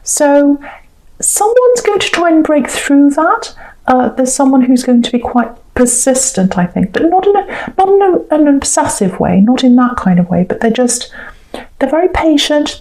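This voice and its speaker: A woman's voice: high voice, sing-song, normal speed, dark tone, poor audio. A woman reading tarot cards off the cuff, speaking directly to the listener in a hesitant, reflective way and repeating phrases for emphasis. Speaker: tarot reader, host. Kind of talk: intuitive tarot reading, unscripted talk to the viewer.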